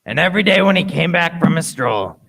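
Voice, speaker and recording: A man's voice: on the high side, lively intonation, a little fast, creaky, a bit bright, slightly rough, exaggerated voice. A man playing an earnest grown-up storyteller who recalls his boyhood in scripted, dramatic radio play narration. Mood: descriptive.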